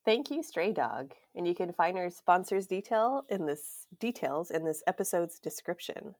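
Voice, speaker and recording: Congested low-voiced woman co-host. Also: sing-song, moderate pace, a little mellow. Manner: giggly, chatty with many fillers. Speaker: podcast co-host. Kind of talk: casual chat.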